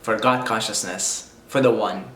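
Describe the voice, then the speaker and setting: A man's voice: fairly low pitch, fairly even intonation, measured pace, a bit bright, reverberant. A man from Syria whose first language is Arabic, thinking aloud in a reflective spiritual monologue, repeating his phrases as he goes. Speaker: video creator speaking on spirituality.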